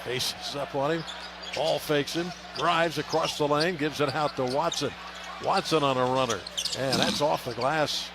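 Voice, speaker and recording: A man's voice: a little high, fairly even intonation, unhurried. An American man working as a radio announcer, giving live basketball play-by-play in short, clipped phrases. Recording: loud arena noise behind. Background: arena ambience, bouncing basketball.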